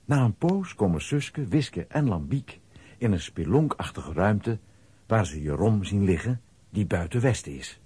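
A man with a husky voice narrating a scripted children's audio play as storyteller. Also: on the low side, sing-song, a little slow, dark tone, no room sound.

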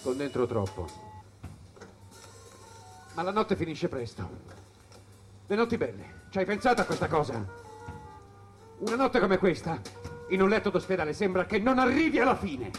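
An actor playing an ailing jazz musician in a dramatic stage monologue, looking back on wild nights from a hospital bed. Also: male voice, high-pitched, moderate pace, pauses often, soft background music. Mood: bitter amusement. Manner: dramatic, swinging between brooding and excited.

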